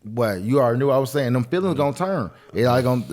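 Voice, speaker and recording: A man's voice: medium pitch, lively intonation, very fast, a little mellow. Emphatic man in casual podcast chat. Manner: emphatic, repeats phrases for effect. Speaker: podcast co-host.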